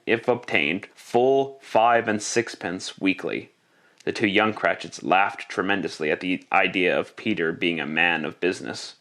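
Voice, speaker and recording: A man's voice: deep voice, a bit bright. A man playing the storyteller of a Victorian Christmas tale, reading scripted narration in classic radio style.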